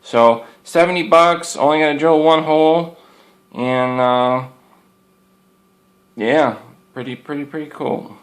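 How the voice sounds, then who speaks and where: A man's voice: fairly high pitch, slow pace, long pauses. A man giving a casual, unscripted product review in an informal, folksy, rambling way, often repeating his words.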